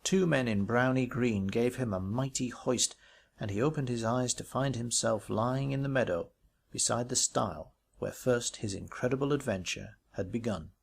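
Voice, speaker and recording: A man reading a ghost story aloud as literary narration, in a measured, expressive way with varied emphasis. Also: mid-range voice, gravelly, husky. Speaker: audiobook reader.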